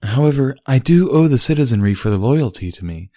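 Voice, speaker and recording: A storybook pony princess, voiced by a man, speaks well above the reader's natural pitch. She is formal and dutiful, arguing her case in measured, stilted phrases. The sound is dry. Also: expressive, dark tone, no echo, close to an everyday voice. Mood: earnest. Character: formal, dutiful, argumentative immortal pony princess, younger sister. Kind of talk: dramatised storybook reading.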